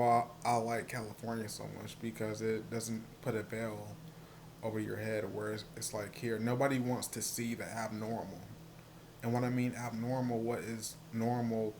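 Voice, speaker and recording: A man with a soft voice, stuttering and repeating words as he talks casually to the camera in a personal vlog. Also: fairly low pitch, slight background noise. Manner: stuttering, halting with many repeated words and fillers. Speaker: vlogger.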